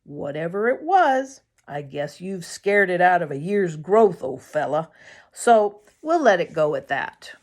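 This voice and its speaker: A woman's voice: on the low side, very animated, a little slow, full character voice. A woman voicing a farm boy in a storybook, talking fondly and coaxingly to his dog. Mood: reassuring.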